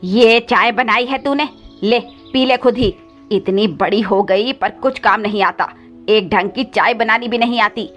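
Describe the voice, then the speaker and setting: A woman's voice: on the high side, measured pace, a bit bright. A woman voicing a cruel, scheming male crow stepfather with a sly, scolding manner in a cartoon moral story. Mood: angry scolding. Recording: slightly dull audio with background music.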